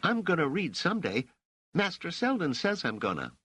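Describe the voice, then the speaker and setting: A man acts out a cheeky boy of about twelve from the streets. The voice sits well above an adult male pitch and jumps about freely. The talk is brash, slangy and ungrammatical, as in a storybook reading. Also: huge pitch swings, normal speed, bright, forceful tone, slightly rough, no room sound, heavily acted. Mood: earnest, pleading. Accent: fictional working-class street dialect.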